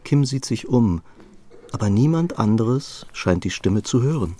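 A man's voice: medium pitch, lively intonation, measured pace, mellow. A man reading scripted story narration for a German radio play.

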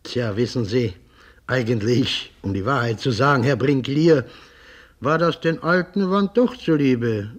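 An actor in a German radio drama playing a brisk, self-important company chairman, a pragmatic businessman. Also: mid-range voice, close to an everyday voice. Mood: offhand, confiding.

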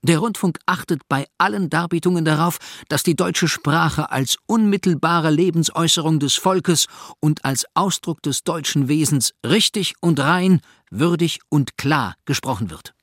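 A man's voice: on the high side, normal speed, fairly bright tone, dry recording, studio quality. A man reading an official document aloud in a formal, declarative style. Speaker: reader of documents.

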